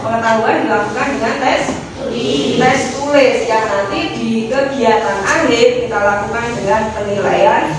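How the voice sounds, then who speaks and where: Echoey, distant Indonesian woman schoolteacher. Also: fairly high pitch. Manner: explains step by step, checking understanding with short questions. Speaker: schoolteacher leading a class. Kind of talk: classroom instruction. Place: indoor school classroom. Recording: very echoey, noisy classroom, distant microphone.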